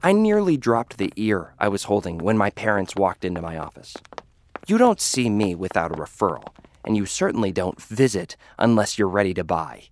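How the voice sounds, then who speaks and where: A man's voice: fairly low pitch, big pitch swings, normal speed, slight background noise. A man narrating a scripted audio drama in first person as an arrogant scientist, condescending and dryly sarcastic. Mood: smug. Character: arrogant male scientist who builds people. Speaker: narrator and lead character.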